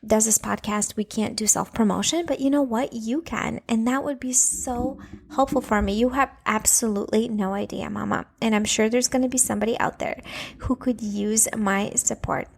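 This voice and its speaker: A woman's voice: fairly high pitch, normal speed, vocal fry, breathy edge. A woman in her thirties, born in Latvia with Russian as her first language, hosting a podcast in an earnest, chatty, encouraging way. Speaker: podcast host, anger coach for moms. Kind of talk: casual solo podcast chat.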